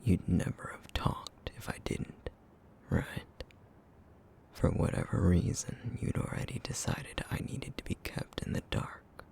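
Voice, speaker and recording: Brooding male essence eater for ASMR roleplay, played by a man: low, gravelly, terse, bitter, menacing. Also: a little slow, a little mellow, full character voice. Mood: brooding, guarded menace. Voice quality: breathy, gravelly near-whisper. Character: brooding, guilt-ridden male essence eater, a vampire-like monster. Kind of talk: ASMR roleplay audio drama acting.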